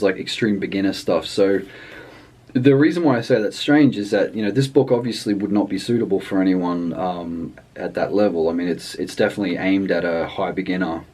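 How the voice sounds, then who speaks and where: Male reviewer, lower register, off-the-cuff and rambling, thinks aloud with hesitations. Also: darkish tone, slightly rough. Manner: hesitant, rambling delivery with trailing thoughts. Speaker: language book reviewer. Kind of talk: casual unscripted review chat.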